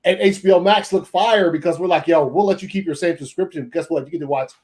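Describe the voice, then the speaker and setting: A man's voice: a little high, fast, darkish tone, slightly rough. A man chatting casually on a streamed panel, emphatic, slangy and freely swearing.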